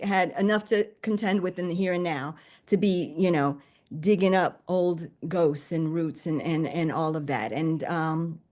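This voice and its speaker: A woman author telling her own story on a panel in a conversational, deliberate and reflective way. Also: lowish voice, a little mellow.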